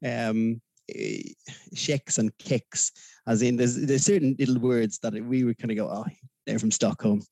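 Gravelly, mellow Dublin Irish male guest, rambling and hesitant, dry close sound. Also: medium pitch, steady tone, a little slow, no echo. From Ireland. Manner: rambling, hesitant, many fillers, laughs easily. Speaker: podcast guest. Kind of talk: casual interview chat.

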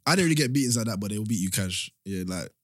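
A man's voice: fairly low pitch, lively intonation, rapid-fire, no echo, studio quality. A man with a Jamaican and London accent, telling school stories in a casual podcast chat. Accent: Jamaican and London English. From born in England, grew up in Jamaica.

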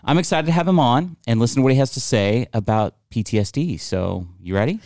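A man's voice: medium pitch, lively intonation, a little fast, darkish tone, no echo, studio quality. A man hosting an interview show in a conversational style. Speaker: podcast host.

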